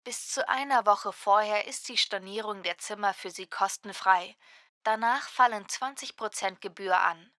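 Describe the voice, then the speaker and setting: A woman acting a courteous, helpful hotel receptionist in a scripted language-lesson dialogue, with careful, clear diction. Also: mid-range voice, moderate pace, pushed and bright, dry recording, clean studio sound, natural voice.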